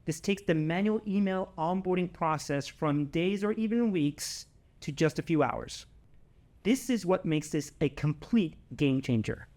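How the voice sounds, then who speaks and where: A man's voice: fairly high pitch, expressive, unhurried, darkish tone. A man presenting a scripted product announcement as a company presenter, emphatic and given to repeating key phrases.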